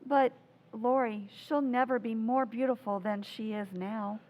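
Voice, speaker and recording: A woman playing a gentle, loving, anxious mother in old-time radio drama style, tender and earnest. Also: on the high side, fairly even intonation, a little slow, close to an everyday voice, low-quality recording. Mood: reassuring.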